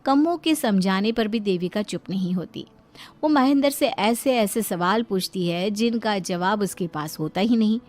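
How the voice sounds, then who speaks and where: A woman's voice: mid-range voice, normal speed, darkish tone. A woman narrating a scripted dramatic moral story.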